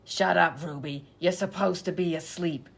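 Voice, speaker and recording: Actress as a gravelly, bossy woman. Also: lowish voice, steady tone, moderate pace. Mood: sardonic, snappy. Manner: curt, dry, snappy. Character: bossy, sardonic female hospital character. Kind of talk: comedy radio sketch acting.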